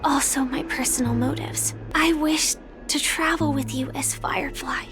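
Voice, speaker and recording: A woman playing an earnest, soft-spoken young girl in a game, with gentle, measured delivery. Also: sits high, fairly even intonation, music in the background, close to an everyday voice. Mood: earnest, confiding. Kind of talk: scripted anime-style game voice acting.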